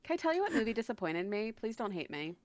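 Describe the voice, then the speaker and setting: A woman's voice: fairly high pitch, big pitch swings, fast talker, no room sound. A woman chatting casually on a live stream in an informal, joking and self-deprecating way. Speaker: guest narrator and voice actor.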